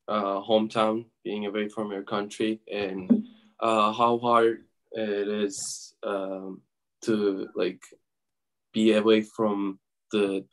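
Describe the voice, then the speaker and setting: Hesitant young man, slow and soft. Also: on the low side. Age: young adult. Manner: soft-spoken, full of fillers and pauses. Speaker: guest musician. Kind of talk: hesitant interview answers.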